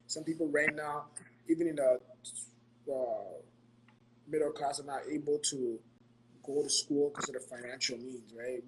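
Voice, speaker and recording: A man's voice: mid-range voice, a little hiss or hum, rough recording. A man from Ghana giving earnest, motivational money advice in a casual podcast, often repeating himself and checking in with "right?".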